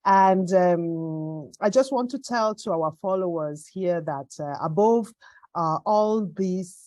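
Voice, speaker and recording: Slow, hesitant woman hosting an interview. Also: fairly low pitch, dry recording. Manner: hesitant, many fillers and restarts, warm laughs. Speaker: host.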